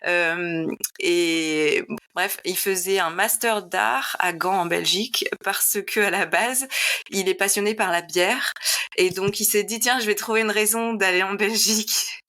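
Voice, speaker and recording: A woman's voice: mid-range voice, measured pace, pushed and bright. Chatty woman guest in her forties. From Lille, northern France. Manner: chatty, drops in English phrases. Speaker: podcast guest, paediatric nurse. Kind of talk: casual personal storytelling in interview.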